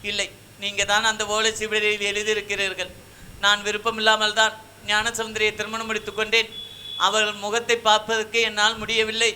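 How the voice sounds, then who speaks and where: High, plaintive puppet wife voiced by a man. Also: completely flat delivery, a little fast, bright, forceful tone, slight background noise. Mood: accusing. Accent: Tamil Nadu. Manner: plaintive, declamatory. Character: wronged, devout young wife and mother. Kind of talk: folk shadow puppet drama, formal stage diction.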